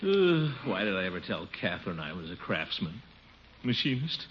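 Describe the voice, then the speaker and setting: An actor playing a pompous, easily flustered middle-aged uncle in a 1950s radio comedy, blustering and muttering asides. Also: male voice, low voice, sing-song, moderate pace. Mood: muttering, scheming. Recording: muffled, noisy old radio recording.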